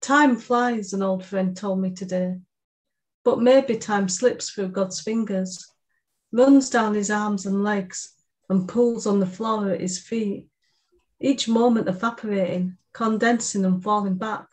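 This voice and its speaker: A soft-spoken woman with a mid-pitched voice reads a reflective poem in a plain, unhurried way. She talks about it afterward with the same thoughtful calm. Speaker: podcast co-host. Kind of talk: reading a poem aloud, casual chat.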